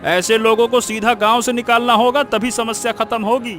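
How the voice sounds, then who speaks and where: Very high-pitched, pushy cartoon village schemer played by a man. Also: flat delivery, moderate pace, a bit bright, soft background music. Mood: scheming, persuasive. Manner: argumentative, blustering, insistent. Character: scheming, quarrelsome male village cheat, pushy and spiteful. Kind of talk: Hindi cartoon moral story acting.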